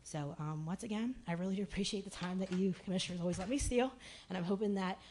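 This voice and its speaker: A woman with a raspy voice addressing a city commission meeting in a chatty way, with many fillers and restarts. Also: medium pitch, fast talker, a little mellow, a little hiss or hum.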